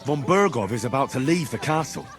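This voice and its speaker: A man's voice: mid-range voice, animated, a little fast, over music, natural voice. A man playing a practical, plain-spoken young commoner adventurer in a video game, direct and down-to-earth. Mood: explaining.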